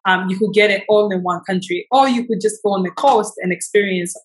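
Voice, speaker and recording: A woman who grew up in Tanzania speaks as an interview guest. She talks in an enthusiastic, effusive and conversational way, with a voice of medium pitch. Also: a little fast.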